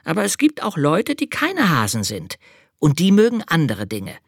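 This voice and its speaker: A narrator voicing a small, proud and excited boy angel in a children's storybook, chattering eagerly. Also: medium pitch, moderate pace, gravelly, husky, no room sound, studio quality, pitched above the performer's own voice, full character voice. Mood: indignant. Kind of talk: storybook reading.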